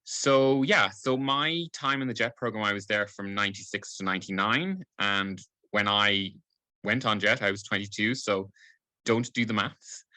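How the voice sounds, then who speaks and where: A man's voice: on the low side, moderate pace, bright, forceful tone, dry recording. A man in his late forties from Cork with a light Irish accent, giving a webinar talk with many ums and restarts. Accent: light Irish, not strongly regional. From Ireland (Cork). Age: late 40s. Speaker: embassy staff speaker. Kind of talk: webinar presentation.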